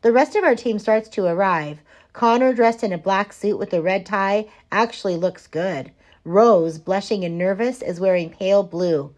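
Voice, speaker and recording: A woman narrates a children's novel in the first person, reading it out as a teacher does for a class. Her voice sits at a middling pitch for a woman. The delivery is that of an audiobook read for young listeners. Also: moderate pace, a little mellow.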